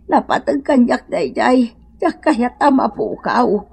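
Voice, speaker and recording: Actress as poor elderly Ilocano mother: slow, highish voice, drawn-out plaintive exclamations, radio drama melodrama. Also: creaky, a little mellow, breathy edge, heavily acted. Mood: protesting. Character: stubborn, plaintive old beggar mother in shabby clothes.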